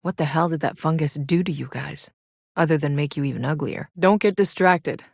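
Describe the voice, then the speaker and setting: A woman's voice: deep voice, fairly quick, gravelly, no echo, studio quality. A woman playing a tough, wry soldier scouting a hostile alien world in a video game, speaking terse, matter-of-fact scripted lines. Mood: dry, firm.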